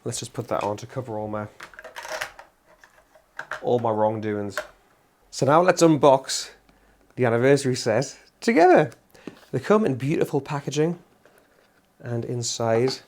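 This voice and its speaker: A man's voice: mid-range voice, lively intonation, unhurried, long pauses, slight background noise. A man hosting a guitar channel who talks casually and eagerly to camera, laughing now and then, his voice worn out from losing it all week. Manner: enthusiastic, chatty, laughs often. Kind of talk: casual demo talk to camera.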